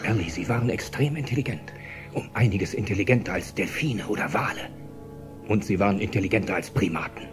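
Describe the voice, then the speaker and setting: A man's voice: fairly low pitch, brisk, over music, close to an everyday voice. An actor playing an earnest, enthusiastic male paleontologist in dubbed film dialogue. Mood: intent, excited. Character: earnest paleontologist, enthused about raptor intelligence.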